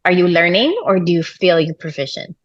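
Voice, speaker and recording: Over slightly muffled, lo-fi call audio, a middle-aged woman hosts a casual interview. Her voice sits a little low, and she is chatty and encouraging with her guests. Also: expressive, brisk. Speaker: interviewer, host. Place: bright room at home, on a video call. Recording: dull, lo-fi video-call audio.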